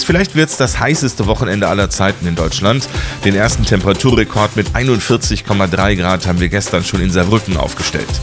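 Low-voiced male radio newsreader. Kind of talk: scripted news reading.

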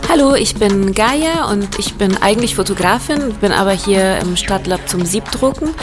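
A woman's voice: mid-range voice, lively intonation, normal speed, music in the background. A woman from Italy, a photographer, talking casually and hesitantly as an interview guest.

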